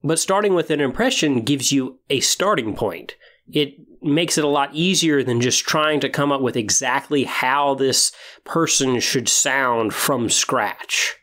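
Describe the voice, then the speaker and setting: Male podcast host, medium pitch, measured and explanatory solo delivery with emphasis on key phrases. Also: a bit bright. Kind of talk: podcast monologue giving tips on tabletop roleplaying.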